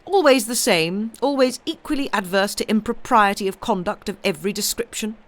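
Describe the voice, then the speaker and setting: A woman's voice: mid-range voice, a little fast, voice pushed up, natural voice. Woman playing an imperious society matron, commanding and formal. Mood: stern. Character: imperious, indignant society matron, lady of the house.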